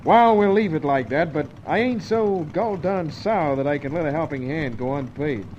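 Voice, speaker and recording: An actor playing a gruff, secretive bush pilot, who talks in a curt, folksy, guarded way in a 1950s radio serial. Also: male voice, on the high side, animated, moderate pace, a little mellow, close to an everyday voice. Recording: dull old radio recording with hiss.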